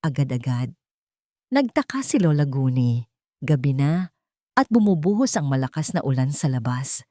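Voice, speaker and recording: A woman narrating a scripted dramatic story in a measured, deliberate storytelling manner. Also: low-pitched, warm tone, dry recording, clean studio sound.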